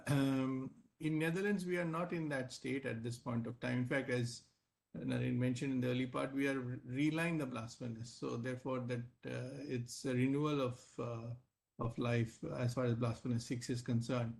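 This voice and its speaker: Measured male executive on an earnings call. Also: mid-range voice, steady tone, gravelly, darkish tone. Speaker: company finance executive. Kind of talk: answering analyst questions on an earnings call.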